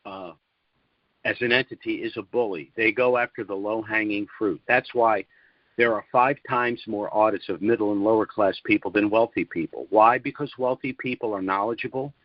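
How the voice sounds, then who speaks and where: A man giving a sales presentation on a conference call, speaking in deliberate, measured phrases with emphatic pauses. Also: fairly low pitch, dry recording. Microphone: conference call line. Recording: dull, lo-fi conference call audio.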